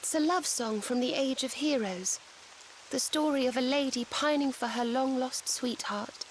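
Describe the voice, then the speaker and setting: An actress voicing a gentle, polite young woman in a video game, speaking in prim phrases with faint rain behind her. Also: high-pitched, normal speed, lightly acted. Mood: wistful. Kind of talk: dramatic video game voice acting.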